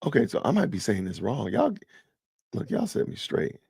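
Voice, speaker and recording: Fifties male streamer, low gravelly voice, dry close sound, easygoing chat host reading comments aloud. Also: wildly swooping pitch, moderate pace, darkish tone, dry recording. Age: 50s. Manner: chatty, reads and answers live chat aloud. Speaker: podcast host. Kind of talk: casual live stream chat with viewers.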